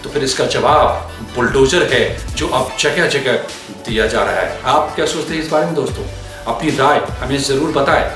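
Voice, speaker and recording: A man's voice: medium pitch, moderate pace. A man narrating scripted news commentary as a channel host, with an emphatic, dramatic delivery. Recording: heavy echo, music bed underneath. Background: background music.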